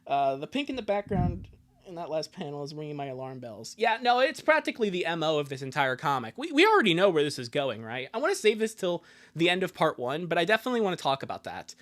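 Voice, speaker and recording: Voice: mid-range voice, big pitch swings, fast talker, fairly bright tone. A streamer giving casual, joking livestream commentary while reading a fan comic, with apologetic asides to viewers.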